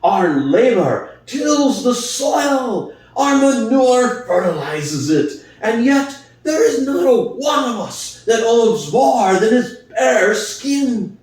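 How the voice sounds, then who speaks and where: An aged boar, performed by a man, speaks like a revolutionary orator, his voice leaping high and low as he drives each point home. He speaks slowly and deliberately. The reading comes from a far-off mic in a very echoey room. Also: very animated, a little mellow, exaggerated voice. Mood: rousing. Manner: impassioned speechmaking, rhetorical questions, swelling emphasis. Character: old prize boar, wise, rousing revolutionary orator. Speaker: reader. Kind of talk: dramatic audiobook reading.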